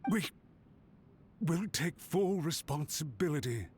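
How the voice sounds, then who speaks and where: An actor playing a desperate, deferential official in a video game, apologetic and pleading in manner. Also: male voice, a little high, expressive, takes it slowly, darkish tone, a little hiss or hum. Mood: anxious, earnest. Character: desperate, deferential male official begging for mercy. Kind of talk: scripted video game voice acting.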